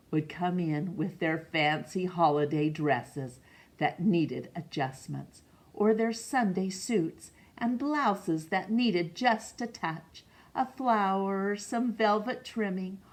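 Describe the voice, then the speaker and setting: Slow, low-pitched woman reading a storybook. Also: darkish tone. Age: middle-aged. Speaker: storytime reader. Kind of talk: read-aloud storybook narration. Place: small room indoors.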